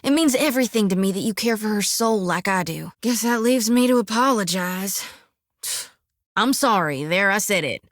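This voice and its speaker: A woman voicing a devout, quick-tempered young priestess in a video game, folksy and blunt. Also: medium pitch, lively intonation, no echo, studio quality, close to an everyday voice. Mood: sheepish. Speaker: companion character. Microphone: dry studio mic.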